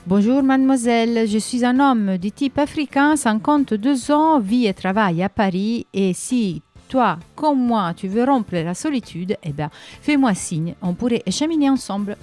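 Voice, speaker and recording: Woman radio host, a bit high-pitched, friendly and loose, reads listener ads and news items. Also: expressive, moderate pace, few pauses, darkish tone, faint music behind. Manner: friendly, conversational, with frequent hesitation fillers.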